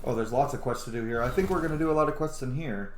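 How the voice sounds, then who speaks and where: A man's voice: medium pitch, quick pace, slight background noise. Casual man gaming in an echoey room. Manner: informal, thinking aloud. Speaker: gaming video host. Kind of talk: casual game playthrough commentary. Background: game music.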